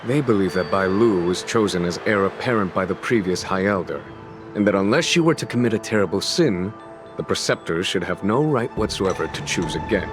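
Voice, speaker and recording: A low, deep-voiced general from a video game, calm and shrewd. He speaks formally and with measured ease, a hint of amusement underneath. Clear music plays behind him. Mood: measured, explanatory. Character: wise, calm, shrewd male general, recuperating. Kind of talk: English game voice acting, measured dialogue.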